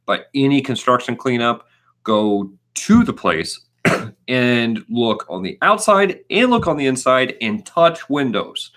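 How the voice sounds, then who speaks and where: A man in his thirties co-hosting a casual live question-and-answer chat, talking in an animated, emphatic, informal way. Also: medium pitch, a little slow. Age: 30s. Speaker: co-host of a trade channel.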